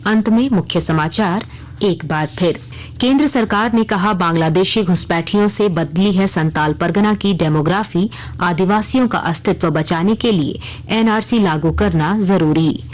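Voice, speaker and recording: A woman reading a scripted radio news bulletin in a formal, measured newsreader manner. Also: fairly low pitch, low-quality recording. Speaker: news reader.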